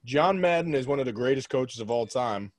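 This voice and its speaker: A man's voice: mid-range voice, animated, fairly quick, dry recording, studio quality. A young man co-hosting a casual sports podcast, blunt and joking, pushing strong opinions. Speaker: podcast co-host.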